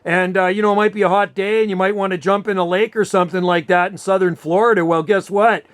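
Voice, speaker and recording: Male Canadian amateur radio operator, high-pitched voice, chatty and explanatory in an informal exchange. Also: fairly even intonation, fast talker, smooth. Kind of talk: casual radio chat.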